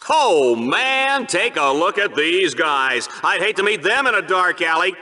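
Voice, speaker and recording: Hammy arena announcer for a video game, goofy n slick, high voice, super animated, hyped up commentary. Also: male voice, measured pace, heavily acted. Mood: mock wincing. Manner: showy, theatrical, hammy. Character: slick, goofy, over-excited male game-show sports announcer.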